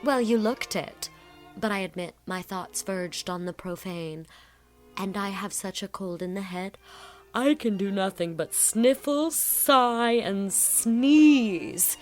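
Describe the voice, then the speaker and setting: Scatterbrained young student played by a woman; medium-pitched, melodramatic, chatty storybook delivery. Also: slow, a little mellow, faint music behind, lightly acted. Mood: mock-suffering. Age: young adult. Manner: theatrical, exasperated, rambling. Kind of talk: dramatized audiobook acting.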